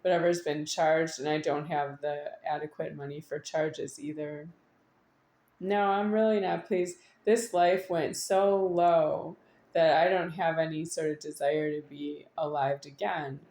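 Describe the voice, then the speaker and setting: A woman giving a rambling, unscripted monologue to an audience, halting at times with drawn-out words and insistent repetition. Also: low-pitched, steady tone, a little mellow. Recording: echoey room.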